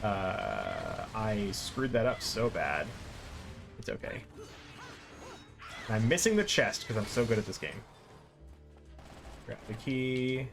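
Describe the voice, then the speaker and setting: A man's voice: on the low side, sing-song, takes it slowly, gravelly, long pauses, fairly bright tone, faint music behind. A man giving casual, rambling live commentary as he plays a game, informal and self-deprecating. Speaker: streamer playing and explaining a game. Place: live stream with game music behind.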